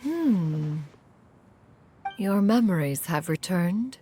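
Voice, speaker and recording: A woman's voice: on the low side, expressive, very slow, warm tone, faint music behind. An actress playing an aloof, wise, ancient female immortal in a game, with a formal and stately manner. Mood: grave, composed. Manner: formal, stately, archaic phrasing. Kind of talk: dramatic game dialogue.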